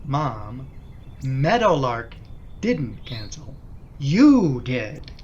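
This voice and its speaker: A middle-aged family man, played by an actor in a radio play, harried and placating. His delivery is slow yet very varied in pitch, and the recording is a bit dull. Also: big pitch swings, a little mellow, slight background noise. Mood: weary, placating. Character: harried middle-aged husband, father and dutiful son.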